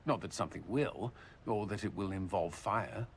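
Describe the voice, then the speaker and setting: An actor playing a devoted, soldierly commander in a video game cutscene, earnest and tender in manner. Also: male voice, fairly low pitch, normal speed, slight background noise, lightly acted. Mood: warm, amused.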